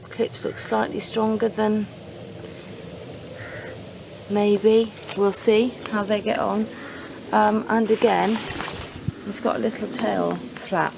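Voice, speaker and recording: A woman with a cold, hoarse and croaky, chatting through a casual review with many hesitations, outdoors at a horse yard. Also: medium pitch, noisy background. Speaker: horse owner vlogger.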